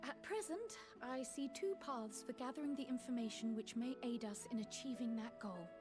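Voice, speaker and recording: A composed, scholarly heroine from a fantasy game, played by a woman. Her voice is on the high side, and she speaks politely and articulately, with self-assurance. Music runs clearly underneath. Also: moderate pace, lightly acted. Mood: businesslike, resolute. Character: small, bright, self-assured scholarly female leader. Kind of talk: scripted fantasy game dialogue. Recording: orchestral music behind the voice.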